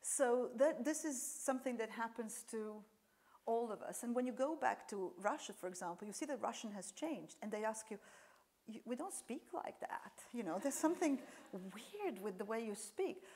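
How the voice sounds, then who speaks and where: A woman's voice: on the high side, animated, normal speed, darkish tone. A woman lecturer whose first language is Serbian, answering audience questions after a talk, explaining with frequent fillers and restarts.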